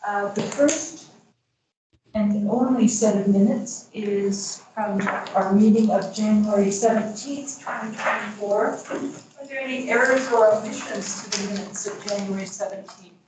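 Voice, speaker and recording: A woman chairing a board meeting, running formal procedure in a deliberate way with frequent hesitations. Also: medium pitch, steady tone, background noise. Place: school board meeting room. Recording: distant mic in an echoey meeting room.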